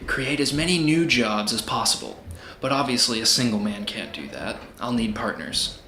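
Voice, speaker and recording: An actor playing a smug, flirtatious, evasive presidential candidate in a comedic audio drama interview, speaking in glib repeated slogans. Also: male voice, medium pitch, animated, moderate pace, bright, forceful tone. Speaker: politician being interviewed. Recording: roomy echo, faint background noise.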